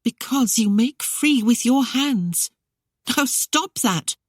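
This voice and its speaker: A man's voice: very high-pitched, lively intonation, slow, no room sound, clean studio sound, voice pushed up, heavily acted. A man voicing an indignant young married lady in an audiobook, huffy and scolding. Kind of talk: audiobook character voice.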